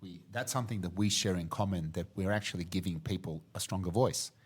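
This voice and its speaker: A man's voice: low-pitched, brisk, slightly rough. A man hosting a podcast, asking questions in a friendly, affirming way with hesitant restarts. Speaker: podcast host. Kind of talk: interview questions.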